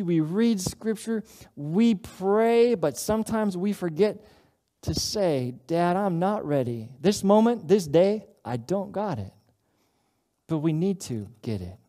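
A middle-aged man preaching a sermon as pastor, earnest and conversational, with dramatic pauses. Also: sits high, warm tone. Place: church sanctuary platform.

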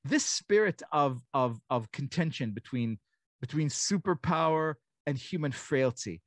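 A man's voice: fairly high pitch, unhurried, no room sound. Middle-aged guy from israel on a video call, thoughtful rambling storyteller, dry close sound. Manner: reflective storyteller, many restarts and fillers, animated quoting. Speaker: author and guest speaker. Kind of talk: online lecture conversation. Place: home study with bookshelves. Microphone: desk mic on a video call.

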